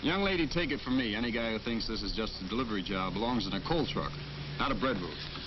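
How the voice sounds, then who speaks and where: A man's voice: on the low side, animated, fast talker, close to an everyday voice. An actor playing a plain-spoken, good-natured bread delivery man in a 1950s training film, folksy and matter-of-fact. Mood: proud. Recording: muffled, noisy 1950s film soundtrack.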